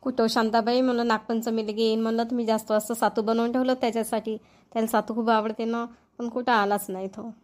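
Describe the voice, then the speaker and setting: Woman acting fast-talking, outspoken village auntie. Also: on the high side, flat delivery, darkish tone, natural voice. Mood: chatty, impressed. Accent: Vidarbha Marathi. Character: outspoken, chatty middle-aged village woman. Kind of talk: amateur cartoon voice acting.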